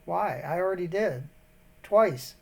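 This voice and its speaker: A man's voice: high-pitched, lively intonation, measured pace, gravelly, darkish tone. A man baiting a phone scammer, rambling and wandering off mid-sentence, growing more indignant as he goes. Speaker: scambaiter posing as an elderly bank customer. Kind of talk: prank phone call.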